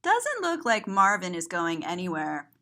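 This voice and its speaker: Lady reading a kids picture book, super animated sing-song voice, takes it really slow. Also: lowish voice. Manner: sing-song, expressive, stretches words out, describes pictures slowly. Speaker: children's story reader and teacher. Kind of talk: animated read-aloud picture book storytelling for children.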